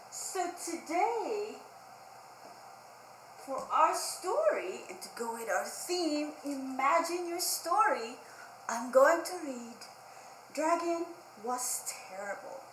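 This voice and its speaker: A woman's voice: high voice, echoey room, background noise. A woman hosting a children's storytime, reading a picture book aloud expressively and emphatically, with simple asides to young listeners. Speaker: children's librarian storytime host.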